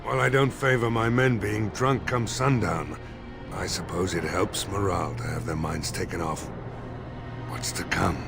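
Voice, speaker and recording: Gruff village mayor, low gravelly voice, blunt, folksy, resolute, fantasy game, faint music behind. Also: male voice, normal speed. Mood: grudging approval. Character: gruff, plain-spoken village militia mayor, weary but resolute. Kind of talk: video game dialogue acting.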